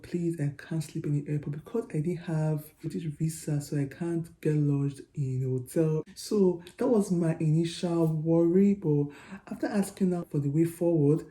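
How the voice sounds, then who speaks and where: A young Nigerian woman with a Nigerian accent, telling a story to her vlog viewers in a chatty, animated way. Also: low-pitched, roomy sound. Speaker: vlogger. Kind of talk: storytelling to camera.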